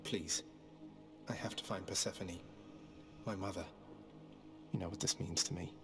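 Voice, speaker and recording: A man's voice: deep voice, fairly even intonation, moderate pace, vocal fry, loud music behind the voice. A man voicing a wry, earnest young rebel prince of the underworld in a video game, with a dry, understated manner. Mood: earnest, pleading. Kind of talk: video game character acting.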